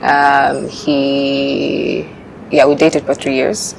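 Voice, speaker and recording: A young woman in her twenties, born in Uganda, answering interview questions in a relaxed way with frequent fillers. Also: a bass voice, extremely slow, vocal fry. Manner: relaxed, laughs often, hesitant fillers. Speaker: pageant contestant guest. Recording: noisy background.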